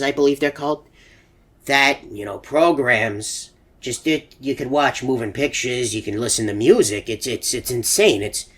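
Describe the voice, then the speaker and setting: An actor playing a rambling, forgetful older animatronic man in a video game, halting and stammering, with a husky voice. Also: medium pitch. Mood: amazed. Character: rambling, forgetful older male animatronic puzzled by modern technology. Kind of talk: video game character monologue.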